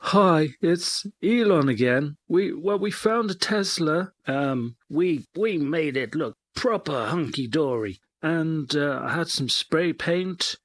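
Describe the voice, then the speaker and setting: Man playing a slow, animated mischievous boaster. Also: a little high. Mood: smug, amused. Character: boastful, mischievous male prankster, parody of tycoon. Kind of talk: comedy radio monologue, storytelling.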